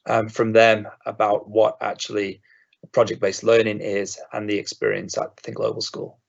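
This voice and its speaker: A man presenting his school in a relaxed webinar talk with frequent fillers. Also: lowish voice, normal speed, gravelly. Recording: dull lo-fi audio.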